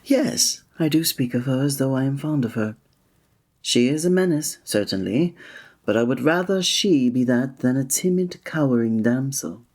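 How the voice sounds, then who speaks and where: Man doing flirty wry innkeeper, ASMR roleplay, breathy airy voice, mid pitch, formal olden speech, chuckles. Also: animated, normal speed, a little mellow. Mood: amused. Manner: formal old-fashioned wording with chuckling asides.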